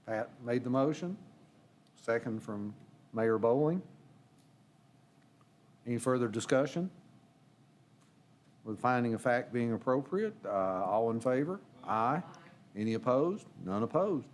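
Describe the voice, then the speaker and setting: A man chairing a city board meeting, moving through motions and votes in brisk procedural phrases. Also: medium pitch, lively intonation, slight background noise. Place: council meeting room.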